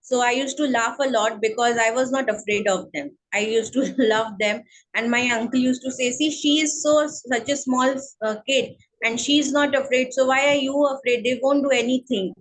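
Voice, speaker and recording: A woman with a Bengali accent speaking English as a guest in an interview, slow and hesitant with many fillers. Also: fairly high pitch, steady tone, poor audio. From India. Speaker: guest, counselling psychologist. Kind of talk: online interview, casual chat.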